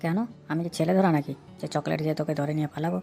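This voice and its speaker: Woman voicing a lower-pitched smug cartoon schoolboy. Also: steady tone, rapid-fire, gravelly, dark tone, faint music behind. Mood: defensive.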